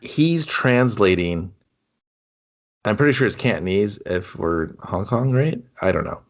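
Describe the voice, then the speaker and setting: Lowish-voiced man ranting about a movie. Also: lively intonation, a little slow. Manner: ranting, profane, conversational. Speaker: podcast co-host. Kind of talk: casual movie review banter.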